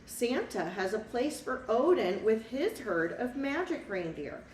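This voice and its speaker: A woman's voice: fairly high pitch, very animated, measured pace, a little mellow. A woman voicing a helpful, courteous cardinal bird guide from a children's storybook. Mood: helpful, earnest. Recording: echoey room, distant mic, faint noise.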